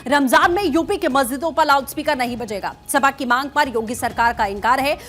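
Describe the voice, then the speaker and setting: A woman's voice: high voice, fairly quick, a bit bright. A woman anchoring a television news bulletin in a broadcast news delivery. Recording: music bed behind the voice. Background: news music.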